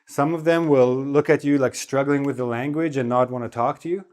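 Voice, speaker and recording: A man giving a spoken English lesson in a relaxed, chatty way, often checking in with the listener. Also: mid-range voice, a little fast. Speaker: English teacher.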